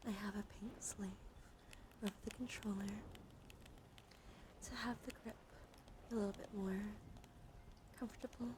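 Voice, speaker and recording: Softly whispering woman doing ASMR. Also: mid-range voice, fairly even intonation. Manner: whispering and soft-spoken, deliberate, repeats phrases gently. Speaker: livestream ASMR host. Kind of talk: ASMR, slow soft talk to live chat. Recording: clearly audible background noise.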